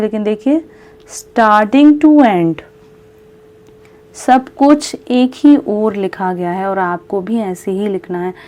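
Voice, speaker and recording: A woman with an Indian accent teaching a lesson, reading aloud and explaining in a deliberate way, repeating key points. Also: mid-range voice, warm tone.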